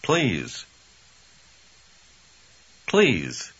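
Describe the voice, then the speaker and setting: A man speaking short model phrases slowly for a language course. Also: lowish voice, wildly swooping pitch, fairly bright tone, slightly rough. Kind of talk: slow, careful model phrases. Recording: dull lo-fi tape recording.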